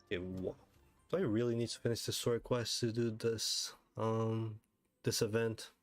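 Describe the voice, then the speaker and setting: A man's voice: fairly low pitch, steady tone, normal speed, no room sound. A man chatting casually on a gaming livestream, rambling with wry asides. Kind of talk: casual gaming stream chat. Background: game music.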